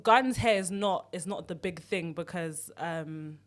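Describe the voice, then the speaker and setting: A woman's voice: lowish voice, unhurried. A young woman from East London with a London accent, co-hosting a casual podcast chat in a blunt, slangy way. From East London, England. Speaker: podcast co-host.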